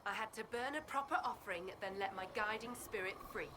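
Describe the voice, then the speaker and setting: An actress playing a prickly, guarded exiled apprentice mage in a video game, agitated and using archaic phrasing. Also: female voice, mid-range voice, fairly quick, sharp tone, slight background noise, natural voice. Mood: defensive, indignant.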